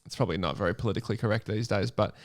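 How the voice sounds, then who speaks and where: A man's voice: on the low side, racing. An Australian man in casual comedic chat, joking crudely and swearing freely. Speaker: podcast co-host reading fan fiction aloud.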